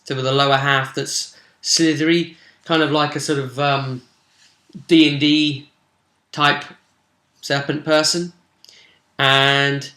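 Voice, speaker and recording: A man's voice: mid-range voice, pushed and bright. A man giving a casual, rambling and enthusiastic recap as a tabletop game master. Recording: roomy echo.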